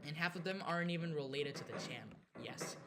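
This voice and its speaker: A young man from Mexico whose first language is Spanish, chatting haltingly with a Mexican Spanish accent as he narrates a gameplay livestream. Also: fairly high pitch. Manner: halting, self-correcting, chatty. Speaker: streamer. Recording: loud background noise.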